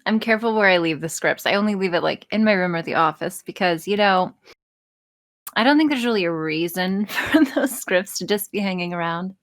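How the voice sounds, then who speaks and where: A woman with a fairly low voice talks in loose, casual podcast banter. She jokes as she goes and swears freely. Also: brisk. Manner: frequent swearing, joking. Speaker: podcast guest, audio performer.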